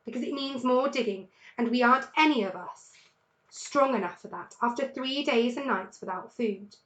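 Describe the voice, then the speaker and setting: A woman's voice: on the high side, normal speed, a bit bright, echoey room, highs cut off, lightly acted. A woman reading a children's storybook aloud, voicing a thoughtful, careful, devoted father fox. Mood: downcast.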